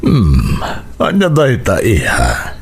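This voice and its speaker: A worried, protective middle-aged father in an Ilocano radio drama. His husky voice has an Ilocano accent, moves slowly and swings dramatically up and down as he questions and disapproves. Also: lowish voice, wildly swooping pitch, exaggerated voice. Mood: curious, cautious.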